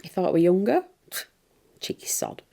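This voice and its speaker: A woman's voice: on the low side, very animated, normal speed, creaky, mellow. A woman playing a brash, vain pantomime stepsister, gossipy and blunt in a comic monologue. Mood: smug, indignant.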